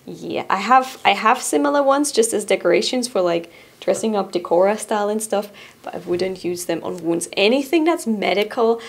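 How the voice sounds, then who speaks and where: A young woman chats about cheap shop finds to camera from a small studio room, bubbly and quick to giggle. Her voice has a gravelly fry and sits at an ordinary middle pitch. She is close on a studio mic. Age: young adult. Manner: bubbly and giggly, drops to a soft relaxed tone. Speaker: host presenting shop items. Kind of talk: casual product review to camera. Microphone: boom-arm studio mic in front.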